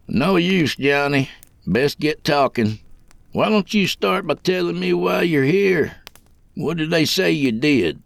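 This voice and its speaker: A man as a gravelly, sly old jailer. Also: on the high side, lively intonation, dry recording, pitched above the performer's own voice, heavily acted. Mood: calm, folksy. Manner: folksy, unhurried rural speech, conspiratorial. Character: calm, folksy old jailer, sly and coaxing.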